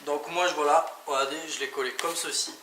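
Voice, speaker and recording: A man's voice: on the high side, fairly even intonation, fairly quick, pushed and bright. A man giving a casual hands-on product tutorial, informally talking through each step with little interjections. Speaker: motorcycle vlogger presenting gear. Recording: echoey, distant mic, clearly noisy background.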